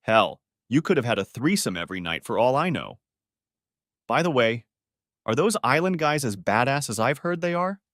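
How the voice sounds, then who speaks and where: A man's voice: mid-range voice, sing-song, normal speed, fairly bright tone, no room sound, clean studio sound. A man voicing a sarcastic, wounded husband in a dramatic story reading. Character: Steve, sarcastic, wounded husband needling his wife. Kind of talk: audiobook-style dramatic reading of dialogue.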